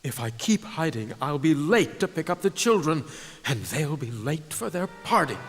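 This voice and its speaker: An actor playing an earnest, self-conscious young steam engine in a children's cartoon. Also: male voice, fairly high pitch, big pitch swings, moderate pace, no echo, soft background music. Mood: sheepish, worried.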